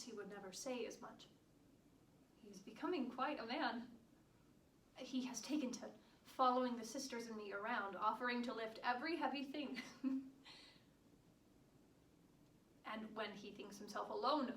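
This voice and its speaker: A woman's voice: fairly high pitch, fairly bright tone. A woman performing a theatrical period monologue as a devoted, proud country wife, with formal old-fashioned diction. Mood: fond, amused. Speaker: stage actress performing monologues. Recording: echoey room, distant mic, background noise.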